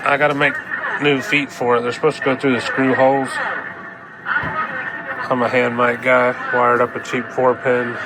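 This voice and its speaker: A man's voice: medium pitch, monotone, normal speed, pauses often. A man with a cold talks in a plain-spoken, rambling, folksy way through a casual hands-on demonstration. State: coming down with a cold. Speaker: vlogger demonstrating a repaired radio. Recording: clear background noise.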